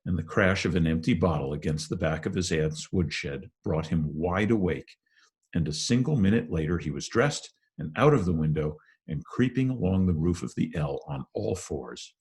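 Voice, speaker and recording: A man narrating a classic novel in a measured, expressive storytelling manner. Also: low-pitched, slightly rough. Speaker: reader.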